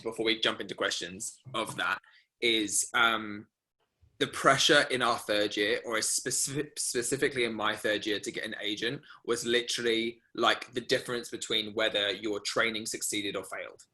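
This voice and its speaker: A young man hosting a class, telling a rambling story full of fillers and lively quoted asides. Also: fairly low pitch. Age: young adult. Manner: rambling, filler-heavy, animated retelling. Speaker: masterclass host. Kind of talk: casual storytelling to an online class.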